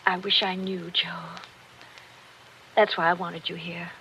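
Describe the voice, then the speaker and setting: A woman's voice: fairly low pitch, flat delivery, a little slow, bright, forceful tone. A woman playing a refined, lonely army wife hiding her unhappiness, genteel and theatrical in 1940s radio drama style. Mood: wistful, strained. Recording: dull, noisy vintage radio recording.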